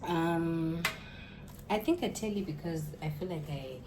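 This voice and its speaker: A woman's voice: low-pitched, measured pace. A woman from Zimbabwe, a makeup and hair artist, answering interview questions in a reflective, easygoing way and laughing easily. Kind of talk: casual interview chat. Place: indoors, Dubai. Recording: noisy background.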